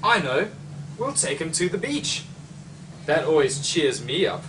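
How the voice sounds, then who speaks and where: A young man reads a children's storybook aloud in a high voice that swoops up and down. He takes his time, with dramatic pauses for young listeners. The microphone sits at a distance. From Australia. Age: young adult. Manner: expressive, dramatic pauses and exclamations. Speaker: picture book author reading aloud. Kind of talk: animated children's storybook reading. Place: library, among bookshelves. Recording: distant mic, noisy room ambience.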